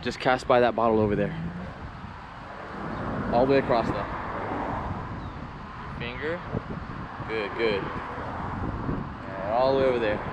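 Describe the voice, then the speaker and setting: A man in his thirties vlogging while fishing outdoors, chatting and coaching in a casual, excited way. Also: medium pitch, slow pace, pauses often, muffled recording. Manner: excited, chatty, hushed when stalking fish. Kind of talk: casual vlog narration and coaching. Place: outdoors by a canal, sometimes in a car. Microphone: action camera. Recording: outdoor camera audio with wind and traffic noise.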